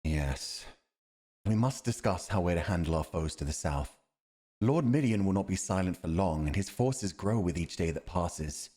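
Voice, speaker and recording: Man acting as a stern, deep-voiced fantasy commander. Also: expressive, moderate pace, warm tone. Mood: respectful, grave. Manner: grave, formal, dutiful. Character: stern, loyal, principled male royal military commander. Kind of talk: fantasy audio roleplay acting.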